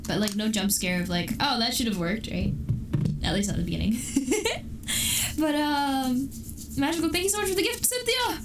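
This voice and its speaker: A young woman streams and chats loosely with her viewers, giggling often and trailing into restarts. Her voice sits fairly high and comes from a little way off the mic, with steady background noise. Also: moderate pace, far from the mic. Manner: giggly, rambling, many restarts. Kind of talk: casual chat with live chat.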